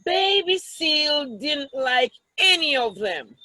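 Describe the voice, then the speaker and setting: A woman with a Jamaican accent reading a story aloud to children, expressive and teacherly, with asides to the listeners. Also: high-pitched, slow pace, dry recording, rough recording.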